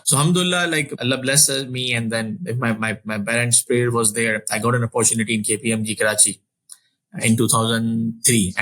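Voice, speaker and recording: A man's voice: mid-range voice, a bit bright, low-quality recording. A man in his forties, raised in Saudi Arabia, telling his career story as an interview guest in an earnest way, often restarting and repeating words. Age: 40s. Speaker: finance executive guest.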